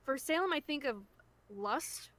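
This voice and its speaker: Higher-pitched woman chatting casually. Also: lively intonation, a little slow, fairly bright tone. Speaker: voice actor on a web series livestream. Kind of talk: casual group chat.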